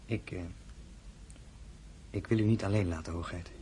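An actor playing a young, loyal count who speaks with earnest deference in a dramatic audio play. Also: male voice, sits low, moderate pace, warm tone, slight background noise, natural voice. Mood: respectful, hesitant. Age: young adult. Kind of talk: dramatic radio play acting.

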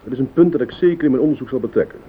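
An actor playing a composed private detective who questions politely and methodically in a radio play. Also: male voice, racing, slightly rough, close to an everyday voice. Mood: measured, probing. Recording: tinny old radio recording, hiss, rumble.

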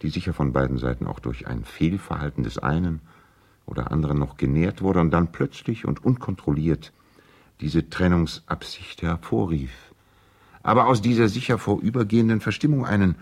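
An actor playing an earnest, conciliatory lawyer in a 1970s radio play, reading a formal letter in a deliberate, gently reproving way. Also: male voice, deep voice, expressive, husky, full character voice. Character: measured, conciliatory lawyer and loyal family friend, gently reproving.

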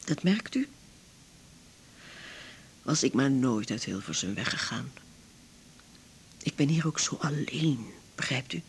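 An actress playing a lonely, tense older widow in a naturalistic radio drama, confiding haltingly in Netherlands Dutch. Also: female voice, very deep voice, animated, a little hiss or hum, heavily acted. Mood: lonely, wary.

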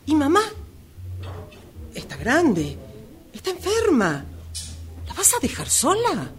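A woman's voice: high-pitched, wildly swooping pitch, slow pace, heavily acted. An Argentine woman playing a resentful, reproachful married sister in an amateur radio drama.

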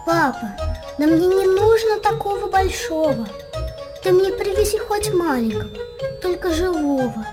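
Little girl, tiny high voice, frail, stubborn, pleading, childlike, radio play, tinkling music. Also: expressive, measured pace. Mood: wistful, pleading. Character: frail, wistful, stubborn six-year-old sick girl.

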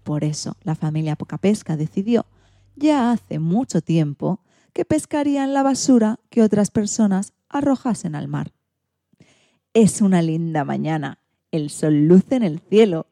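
A woman reading a children's storybook in an expressive, theatrical way. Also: fairly low pitch, unhurried, no echo, studio quality. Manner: theatrical, acts out sound effects and exclamations.

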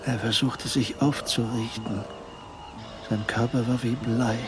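An actor narrating a tense crime story in a scripted radio drama. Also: male voice, medium pitch, flat delivery, measured pace, soft background music, lightly acted. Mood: tense, measured.

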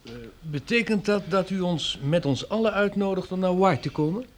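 An actor playing a sceptical, plain-spoken survivor in a radio drama, talking in a dry, questioning way. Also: male voice, high-pitched, normal speed, close to an everyday voice. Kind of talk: radio play acting.

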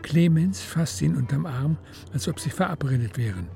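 A man's voice: on the high side, lively intonation, normal speed, vocal fry, warm tone, faint music behind. A man with pronunciation reading literary narration for a radio drama.

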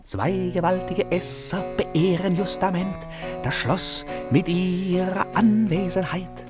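An actress playing a slyly mocking chorus commentator in a radio play, declaiming in a measured half-chant. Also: female voice, lowish voice, steady tone. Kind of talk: German radio play chorus over music. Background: plucked guitar music.

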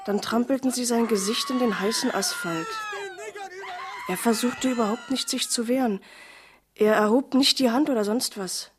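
An actress playing a shaken civil rights activist giving intense, vivid testimony of violence in a radio drama. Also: female voice, fairly high pitch, moderate pace, background noise, lightly acted.

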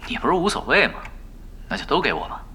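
A sly, nonchalant young gamer. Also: male voice, on the high side, big pitch swings, over music. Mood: cool, teasing. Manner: nonchalant, teasing, unhurried bargaining. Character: cool, sly young male expert gamer, relentless haggler. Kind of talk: Mandarin audio drama acting.

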